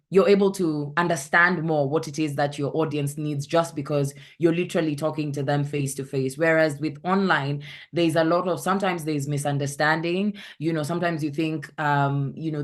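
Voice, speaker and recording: A woman with a Kenyan accent, a content creator talking casually on an online panel, often searching for the right word. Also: low voice. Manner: chatty, searches for words, acts out anecdotes.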